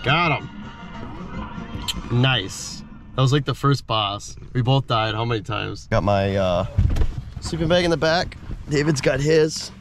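A man's voice: mid-range voice, lively intonation, a little slow, long pauses, over music. A man hosting a casual camping vlog, chatting informally and using slang, recorded in and around a parked car. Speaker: vlog host. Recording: clear background car noise.

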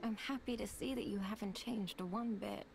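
An actress playing a gentle, composed young woman warrior in a game, speaking softly and earnestly. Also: mid-range voice, normal speed, vocal fry, crowd noise, natural voice. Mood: warm, reassuring. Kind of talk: dramatic game cutscene acting.

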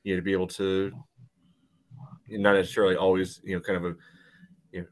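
A man with a low voice and very little pitch movement chats about sports. His delivery is casual and padded with fillers, as on a video call. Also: level tone, fast talker, slight background noise. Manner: peppers speech with "you know". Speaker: sports podcast panelist.

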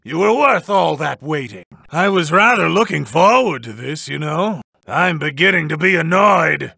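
Haughty, drawling, gravelly cat god. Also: male voice, fairly high pitch, animated, moderate pace, bright, forceful tone, dry recording, studio quality, heavily acted. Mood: pleased, languid, then annoyed, scornful. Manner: theatrical, condescending, drawling. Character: haughty, playful male cat-like god of destruction. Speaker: video game character. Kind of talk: video game fighting voice lines.

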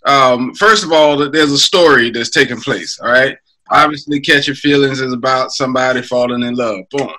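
A man's voice: mid-range voice, steady tone, normal speed, pushed and bright, low-quality recording. A man, a music producer, coaching an artist casually over a video call from home, brainstorming aloud and asking probing questions. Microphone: webcam on a video call.

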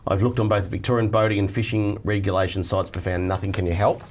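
A man's voice: lowish voice, steady tone, fast, a little hiss or hum. A middle-aged Australian man hosting a studio chat show, reading viewer letters aloud in a chatty, joking way. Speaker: host reading viewer mail. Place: TV studio set at a desk.

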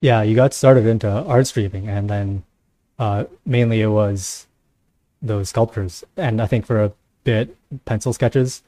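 A man's voice: fairly low pitch, steady tone, measured pace, warm tone, no echo. A man hosting a casual live panel show, sounding somewhat tired. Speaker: host.

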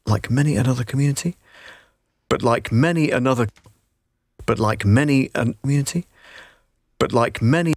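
Male voiceover, middle-aged, medium pitch, scripted promotional documentary read, very dry close booth recording. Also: normal speed, long pauses, dry recording. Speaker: voiceover artist. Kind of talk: scripted documentary-style narration, demo takes. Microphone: close studio microphone.

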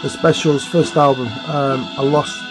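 A man in his thirties, a little hoarse, talks casually into a webcam in a small room at home. He rambles and fills his pauses with ums and uhs. Music plays clearly behind him the whole time. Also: medium pitch, fairly even intonation, rough recording. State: slightly hoarse. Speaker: vinyl collector vlogger. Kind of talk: casual chat. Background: music playing.

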